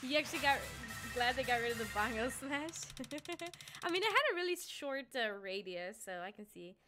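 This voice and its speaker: A woman's voice: high voice, lively intonation, moderate pace. A young woman whose first language is Spanish, chatting casually and giggling while streaming a game, swearing now and then. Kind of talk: casual live-stream chat while gaming. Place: gaming room at home, indoors. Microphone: headset. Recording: game music loud under voice. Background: loud game music.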